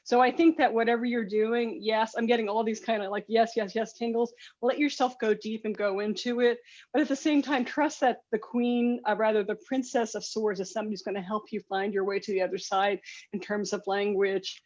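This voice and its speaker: A woman's voice: a little high, fairly even intonation, brisk, a little mellow. A woman giving a spontaneous tarot reading over a video call, speaking in an affirming, emphatic way. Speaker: tarot reader. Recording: dull lo-fi video call audio.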